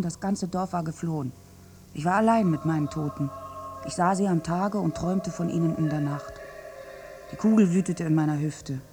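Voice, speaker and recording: A woman's voice: on the low side, darkish tone, natural voice. An actress playing a bitter, wounded village woman who survived a massacre, in a theatrical, morbidly wry radio drama monologue.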